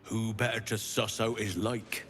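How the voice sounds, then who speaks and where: A man's voice: lowish voice, little pitch movement, unhurried, fairly bright tone. An actor playing a fervent male cult follower who speaks in a reverent, zealous way.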